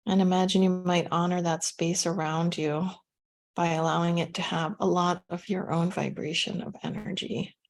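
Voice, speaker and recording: A woman leading a guided meditation, giving gentle, unhurried instructions with pauses between phrases. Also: lowish voice, little pitch movement, creaky.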